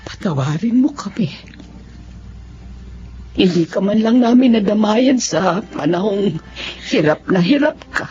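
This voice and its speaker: An actress playing a forgiving elderly Filipino mother and grandmother in a radio drama, speaking tenderly and earnestly in drawn-out phrases. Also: medium pitch, lively intonation, warm tone, lightly acted. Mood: apologetic, consoling. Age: older. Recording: dull lo-fi audio with music underneath.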